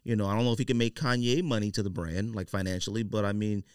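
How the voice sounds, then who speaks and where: A man's voice: lowish voice, steady tone, quick pace, clear, resonant tone, dry recording, studio quality. A man hosting a podcast, talking sports and sneakers in a conversational, opinionated, slangy way. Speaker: podcast host. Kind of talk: casual sports and sneaker chat.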